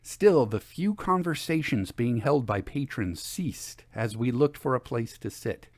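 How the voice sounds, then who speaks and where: A man narrating a scripted first-person story in a measured, expressive, literary manner. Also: mid-range voice, dry recording, clean studio sound.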